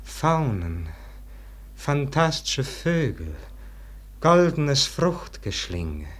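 Casting: brooding, world-weary scholar for radio drama verse; declamatory, extremely drawn-out delivery, mid-pitched voice. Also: male voice, sing-song, heavily acted. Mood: yearning, fervent. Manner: declamatory, swinging from hushed murmur to ringing lament. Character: weary brooding male scholar, full of regret and longing. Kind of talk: slow theatrical verse monologue, classic German radio play.

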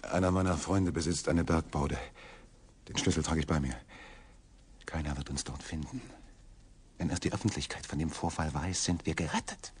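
A man acting in a German radio drama as a trapped, desperate man, urgent and agitated, with a low, rough-edged voice. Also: normal speed, pauses often, a little hiss or hum. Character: trapped, desperate man pleading to get away.

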